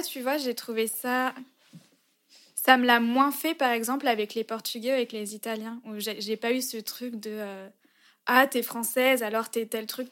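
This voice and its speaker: A young woman from France, co-hosting a podcast in casual chat, laughing often and trailing off with fillers. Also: a little high. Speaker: podcast co-host.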